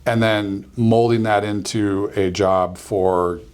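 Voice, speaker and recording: A man in his fifties, an insurance agency owner, talking in a casual, rambling way with many pauses and ums as a podcast guest. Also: fairly low pitch, fairly even intonation, a little mellow, smooth. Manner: easygoing, rambling, frequent ums.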